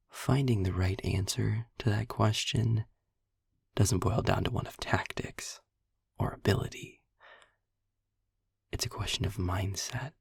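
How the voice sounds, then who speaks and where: A world-weary, sarcastic male demon, played by a man, murmurs close to the listener in an audio roleplay. His voice is very breathy and husky, with slow, wry, wordy phrasing. The sound is dry and intimate. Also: fairly low pitch, no echo, heavily acted. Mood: wry, musing. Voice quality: breathy, husky, gravelly near-whisper. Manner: sardonic, deliberate, wordy. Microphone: close mic, dry.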